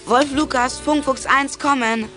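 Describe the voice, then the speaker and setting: A woman's voice: sits high, fairly even intonation, a little slow, over music. A woman playing an eager, conscientious schoolboy radio amateur in a German children's radio play. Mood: brisk, businesslike.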